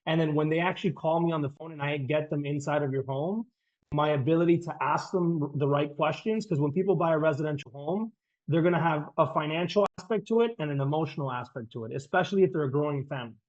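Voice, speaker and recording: A confident man pitching on a sales call, talking quickly and restarting his sentences as he presses his point. His voice sits a bit high, over muffled, lo-fi audio. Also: a little mellow. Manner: persuasive, confident, repeats words as he starts sentences. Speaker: real estate agent and sales coach.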